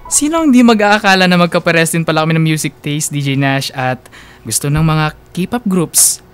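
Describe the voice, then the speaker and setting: Male Filipino radio storyteller, first-person narration, smooth tone, a bit high in pitch. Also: lively intonation, normal speed, faint music behind. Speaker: radio host reading a listener's love story.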